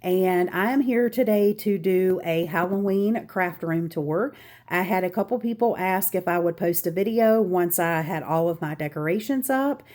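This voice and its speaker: A woman's voice: lowish voice, normal speed, darkish tone. Congested Southern woman giving a room tour. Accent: Southern American. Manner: chatty, with frequent ums and asides. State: congested, stuffy nose. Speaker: craft channel host. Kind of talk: casual room tour vlog. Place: home craft room, walking around.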